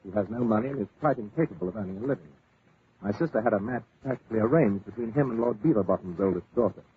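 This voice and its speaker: An actor playing a blustering, hesitant English lord with an upper-class British accent in 1940s radio drama style. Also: male voice, on the low side, animated, racing, natural voice. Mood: earnest, worried. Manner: hesitant, stammering, indignant. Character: flustered, blustering wealthy English lord, devoted to an actress. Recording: noisy, tinny 1940s radio broadcast.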